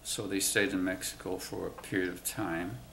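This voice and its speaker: A man in his nineties, born in Cuba, slowly recalling his family's past in an oral history interview, pausing often. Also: low voice, steady tone, creaky, bright, forceful tone, echoey room, slight background noise. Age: 90s. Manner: slow, halting recollection with many pauses. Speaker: interviewee, WWII navy veteran. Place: museum room, indoors.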